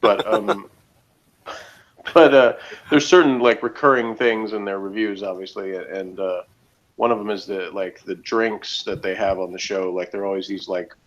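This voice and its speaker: Low-voiced man, casual rambling chat, muffled. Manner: rambling, peppered with "like" and "you know". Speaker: panelist on a TV review show.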